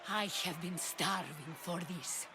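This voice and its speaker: A woman's voice: fairly low pitch, a little slow, creaky, loud music behind the voice. A woman playing a shrewd, commanding courtesan leader in a video game, speaking in a poised, theatrical way. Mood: grateful. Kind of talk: dramatic video game dialogue.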